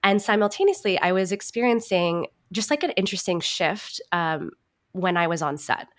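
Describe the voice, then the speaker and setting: A woman's voice: fairly low pitch, normal speed. A woman answering interview questions conversationally, in a reflective and earnest manner. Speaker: podcast guest, actor and writer.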